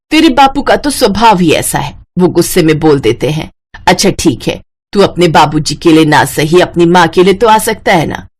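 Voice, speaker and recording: An affectionate older village mother in a moral story, acted by a woman. She speaks in a coaxing, motherly way, lower in pitch, as if pleading with family. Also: breathy edge.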